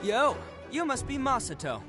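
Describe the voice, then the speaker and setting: An actor playing a brash but earnest young oni in a video game, talking in a casual, slangy, theatrical way. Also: male voice, very high-pitched, sing-song, moderate pace. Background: game music.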